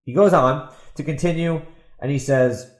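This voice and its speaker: A man's voice: fairly high pitch, normal speed, reverberant. A man hosting a podcast, giving opinionated commentary in a sarcastic, emphatic way and repeating himself for stress. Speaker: podcast host and author.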